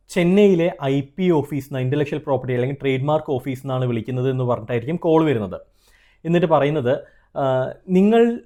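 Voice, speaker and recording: Mellow higher-voiced Malayali man. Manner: earnest, conversational, mixes in English terms. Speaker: trademark consultant and video host. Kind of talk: explanatory advice straight to viewers.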